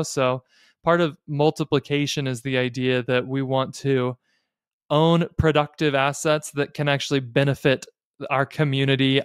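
A man's voice: mid-range voice, smooth, no room sound. Male podcast host, American, clean resonant voice, thoughtful explainer delivery, tight dry studio sound. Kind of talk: explanatory podcast talk on personal finance and faith. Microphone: close studio mic on a boom arm.

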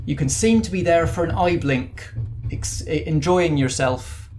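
A man's voice: on the high side, a little slow, a little mellow, a little hiss or hum, natural voice. A man voicing a fussy, polite ghost train conductor who is genteel, rambling and easily offended. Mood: explaining.

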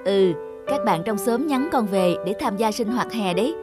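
A woman voicing a gentle, lonely village mother in a children's cartoon, speaking softly and affectionately. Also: medium pitch, lively intonation, normal speed, close to an everyday voice. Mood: wistful. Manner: soft, patient, affectionate. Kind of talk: dubbed children's cartoon dialogue. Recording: music behind the voice.

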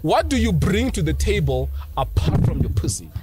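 Young man, podcast co-host, argumentative, animated pitch, slightly high voice. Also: normal speed, background noise. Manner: argumentative, presses his points. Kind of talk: casual debate. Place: outdoor night podcast set. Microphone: headphones and studio boom mic.

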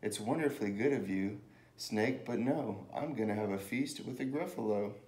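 A man's voice: on the low side, moderate pace, a little mellow, lightly acted. A man voicing a small, clever brown mouse who is polite, cheeky and boastful in a storybook reading. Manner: polite, cheeky, self-assured. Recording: echoey room with faint noise.

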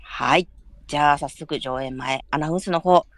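A woman's voice: low-pitched, normal speed, dry recording. A woman hosting a livestream, making polite announcements in courteous, formal phrasing. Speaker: host and theatre announcer.

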